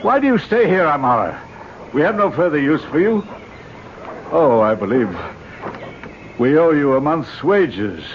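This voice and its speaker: An actor in an old radio drama playing a stern, authoritative doctor with a measured, commanding manner. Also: male voice, fairly high pitch, big pitch swings. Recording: muffled old radio recording with hiss.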